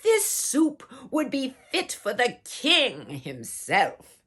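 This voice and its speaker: A woman voicing a wily male soldier in a storybook, coaxing and mock-wistful, with drawn-out, grand phrasing. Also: fairly high pitch, wildly swooping pitch, fairly bright tone, slightly rough, pitched above the performer's own voice, exaggerated voice.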